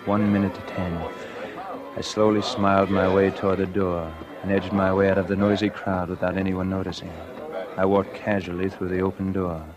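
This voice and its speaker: An actor playing a nervous, scheming murderer who narrates his crime in a deliberate, confessional way, in 1940s radio suspense style. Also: low voice, completely flat delivery, lightly acted. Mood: tense, calculating. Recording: vintage radio drama with music underneath.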